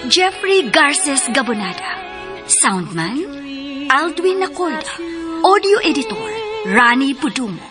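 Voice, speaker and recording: A woman announcing a radio drama from a script, theatrical and emphatic. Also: sits high. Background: clear music.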